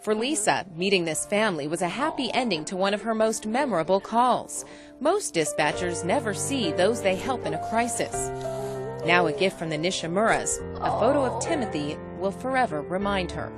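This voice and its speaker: A woman's voice: mid-range voice, animated, moderate pace, loud music behind the voice. A woman reading scripted documentary narration as the narrator of a local news-style segment.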